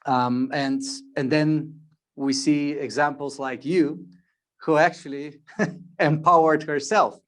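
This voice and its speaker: Hesitant man hosting, slightly high voice. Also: fairly even intonation, slow pace, fairly bright tone. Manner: hesitant, many fillers and restarts. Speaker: interviewer, host. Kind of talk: virtual interview.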